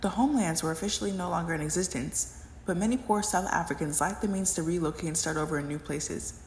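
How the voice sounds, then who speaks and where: Fast-talking young woman narrator, very echoey. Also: lowish voice, a little mellow, a little hiss or hum. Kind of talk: scripted educational narration. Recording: echoey, reverberant room.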